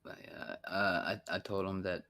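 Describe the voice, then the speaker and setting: A man's voice: sits low, little pitch movement, moderate pace, pushed and bright. A man in his thirties in a casual voice chat, rambling and frank, swearing often. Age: 30s.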